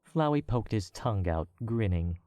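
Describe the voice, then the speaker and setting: Deep-voiced male story narrator. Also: slight background noise. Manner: measured, even, storytelling. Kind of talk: scripted audiobook narration.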